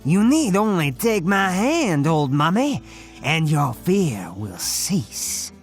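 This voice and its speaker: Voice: medium pitch, sing-song, takes it slowly, soft background music. A performer, heard as a woman, voicing a feared cartoon death spirit in a theatrical, coaxing, sinister manner. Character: feared ghostly death spirit, smooth, luring, grandly sinister. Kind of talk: animated cartoon voice acting.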